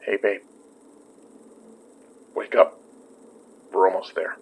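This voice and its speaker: An actor playing a relaxed, protective young boyfriend in a horror audio drama, talking in a playful, reassuring way. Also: male voice, deep voice, slow pace, vocal fry, a little hiss or hum, heavily acted. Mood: gentle. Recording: slightly muffled sound.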